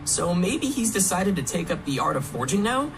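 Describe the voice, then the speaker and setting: Animated male host over background music. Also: high voice, moderate pace, gravelly. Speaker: program host. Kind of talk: upbeat scripted promotional presenting. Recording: music under a played stream.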